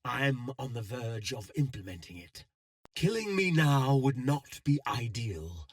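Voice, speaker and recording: An actor playing a calculating, world-weary male schemer in a video game, speaking in measured, eloquent, cryptic phrases. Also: medium pitch, big pitch swings, no echo, studio quality. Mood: scheming, bargaining. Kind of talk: scripted game dialogue, theatrical.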